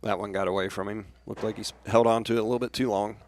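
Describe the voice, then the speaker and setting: Fast-talking American male baseball analyst. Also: lowish voice, flat delivery. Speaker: baseball color commentator. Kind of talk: conversational live sports analysis.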